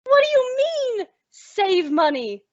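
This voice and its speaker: Actress as sensible young woman, exasperated comic foil, radio sitcom, dramatic, very high lively voice, lo-fi. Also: takes it slowly, exaggerated voice. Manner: emphatic, dramatic. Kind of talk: old-time radio comedy acting.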